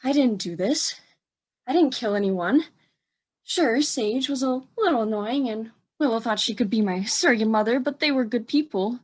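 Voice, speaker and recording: A woman's voice: a little high, animated, moderate pace, slightly rough. A woman acting in an audio drama as a bitter, defiant young murder suspect, indignant and sardonic.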